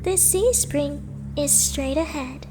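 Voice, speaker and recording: A woman playing a mysterious, gentle young woman guide in a visual novel fan dub, coaxing softly and reassuringly. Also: high voice, over music. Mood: enigmatic, calm. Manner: soft, slow, reassuring, enigmatic. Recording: noisy ambience behind the voice.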